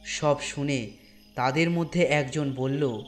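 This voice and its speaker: A man narrating a scripted horror story in a deliberate way, with dramatic pauses between phrases. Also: medium pitch, soft background music.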